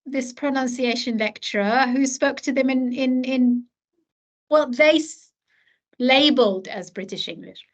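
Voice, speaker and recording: A woman's voice: high voice, a little slow, muffled recording. A woman from Palestine, a language-education academic, speaking earnestly as an interview guest, with frequent fillers and repeated words. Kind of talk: reflective interview conversation.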